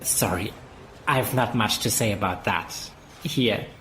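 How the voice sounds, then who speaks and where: A man's voice: fairly low pitch, takes it slowly, a bit bright, slight background noise. An actor playing a naive, lovestruck young man in an audio drama, dreamy and hesitant. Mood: dreamy, awkward.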